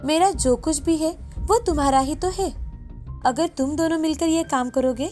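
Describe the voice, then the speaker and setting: A resigned older mother from a cartoon moral tale, voiced by a woman. She sounds accepting and generous, high in pitch, with light music underneath. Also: normal speed, a little mellow, natural voice. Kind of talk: animated moral story dialogue.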